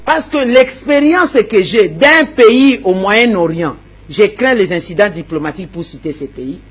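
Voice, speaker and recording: Husky-voiced politician, a woman, forceful and repetitive, stumbles on words. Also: mid-range voice, animated, unhurried. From Democratic Republic of the Congo. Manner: emphatic, repeats words, stammers while searching. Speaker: opposition politician. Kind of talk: interview answers.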